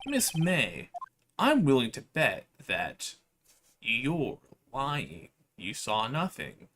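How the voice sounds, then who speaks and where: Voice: medium pitch, wildly swooping pitch, very slow, fairly bright tone, a little hiss or hum, heavily acted. A streamer voicing an earnest young male defense lawyer in a courtroom video game, making firm, pointed challenges. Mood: accusing.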